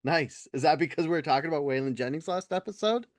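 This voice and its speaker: A middle-aged man co-hosting a casual podcast, talking in an animated, rambling way and swearing freely. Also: a little high, quick pace, no echo, studio quality. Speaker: podcast co-host. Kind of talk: casual profane podcast chat.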